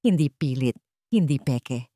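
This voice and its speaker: A woman's voice: very deep voice, expressive, a little slow, warm tone, dry recording, clean studio sound. A woman storyteller reading scripted drama narration in a solemn, dramatic manner.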